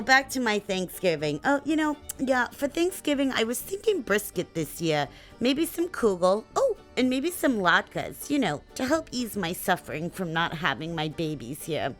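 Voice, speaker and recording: A woman's voice: medium pitch, a bit bright. Lady doing a gossipy new mom in her 60s, bragging and rambling, bouncy voice. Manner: kvetching, name-dropping, tangents. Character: chatty older woman, doting mother, gossipy. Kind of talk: comedic sketch monologue.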